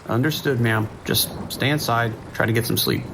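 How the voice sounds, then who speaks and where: A man playing a calm, wry sheriff's dispatcher with a dry, reassuring manner in an audio drama. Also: mid-range voice, normal speed, vocal fry, noisy background, natural voice. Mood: patient, reassuring. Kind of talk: naturalistic audio drama acting.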